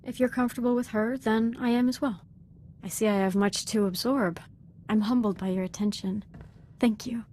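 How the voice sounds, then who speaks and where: A woman's voice: fairly high pitch, fairly even intonation, normal speed, darkish tone, slight background noise, close to an everyday voice. A young woman acting as a devoted, fervent Sith apprentice in a video game, speaking in an earnest, deferential and articulate way.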